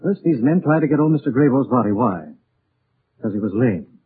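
A composed gentleman detective in a vintage radio mystery. He speaks gravely and deliberately in a husky, medium-pitched voice. The sound is muffled 1940s radio. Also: lively intonation. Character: composed, deductive gentleman sleuth. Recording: muffled, narrow-band 1940s radio recording.

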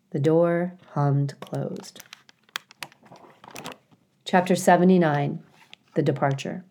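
A woman reading aloud as a storyteller, in an expressive style suited to a children's audiobook. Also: fairly low pitch. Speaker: story reader, school staff.

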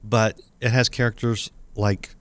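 A man with a lowish voice hosts an interview, introducing his guest and asking questions in a friendly, encouraging way. The recording is dry and close, with no room sound. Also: flat delivery, unhurried, fairly bright tone, no room sound. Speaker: interviewer host.

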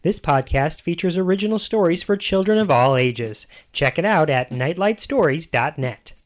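A man's voice: a little high, animated, normal speed. An American man hosting a podcast, reading a scripted introduction in a playful, punning manner. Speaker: podcast host.

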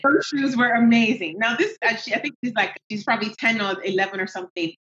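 Lively Nigerian woman on a roomy call. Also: fairly high pitch, quick pace. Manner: lively, animated, laughs easily. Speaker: guest entrepreneur. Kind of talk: casual interview chat. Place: at home. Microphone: video call. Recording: roomy, dull video call audio.

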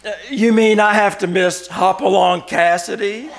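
An actor playing a grumbling, TV-loving husband in old-time radio comedy style. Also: male voice, high voice, steady tone, normal speed, vocal fry. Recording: very noisy live stage recording.

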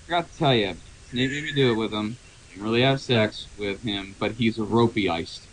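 A man's voice: lowish voice, moderate pace, a little hiss or hum, close to an everyday voice, poor audio. A man voicing a depressed, overdramatic teenage goth boy with theatrical, anguished delivery in a mock-dramatic reading. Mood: miserable.

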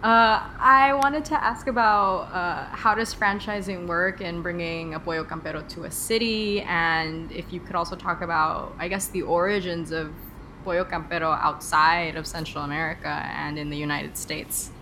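A woman asks interview questions in a casual way, with some fillers. Her voice is low-pitched but bright and sharp. A faint noise sits behind her. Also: fairly even intonation, normal speed, low-quality recording.

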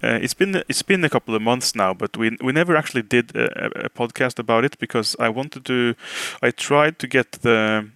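A man's voice: mid-range voice, normal speed, gravelly, few pauses, fairly bright tone, smooth, no echo. A Norwegian man hosting a casual podcast chat in English, speaking with a Norwegian accent and many hesitant fillers. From Norway. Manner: hesitant, filler-heavy, laughs easily. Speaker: podcast host. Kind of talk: casual chat over a call.

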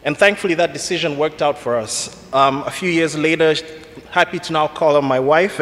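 Kenyan guy giving a heartfelt reflection at church, slightly higher voice, echoey sanctuary. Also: a bit bright. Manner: earnest, measured, slightly self-deprecating. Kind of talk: prepared church talk. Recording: long church reverb.

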